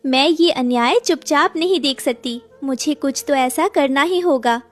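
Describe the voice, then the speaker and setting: A woman's voice: high-pitched, unhurried. A woman voicing a compassionate, indignant female sparrow in a cartoon, lamenting earnestly. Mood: anguished, indignant.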